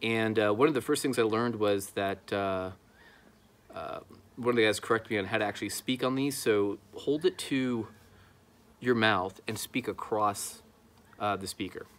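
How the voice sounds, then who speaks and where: A man in his thirties with a slightly low voice hosts a casual vlog. He explains things to the camera in a conversational way while sitting outdoors. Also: normal speed, a bit bright. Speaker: YouTube host, amateur radio hobbyist. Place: outdoors in a backyard.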